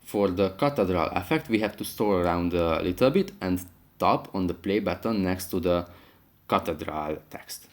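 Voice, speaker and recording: Low-pitched man narrating a tutorial. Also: animated, normal speed. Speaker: tutorial host.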